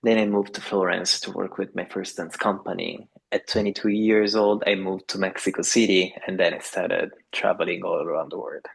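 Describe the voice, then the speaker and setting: Gravelly Italian man, enthusiastic. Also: low-pitched, steady tone, normal speed, few pauses, poor audio. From Italy. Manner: enthusiastic, acts out other people's voices. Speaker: yoga teacher guest. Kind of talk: casual interview conversation. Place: home in a French village at night.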